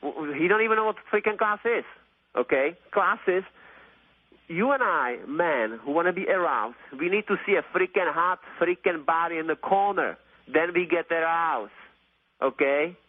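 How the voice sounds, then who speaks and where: Male radio caller, late 30s, slightly high pitch, gushing and repetitive, thin telephone sound. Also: expressive, normal speed, dry recording. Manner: effusive, rambling, repetitive, mildly profane. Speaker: phone-in caller. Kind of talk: casual, opinionated radio call-in chat. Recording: tinny phone line on radio.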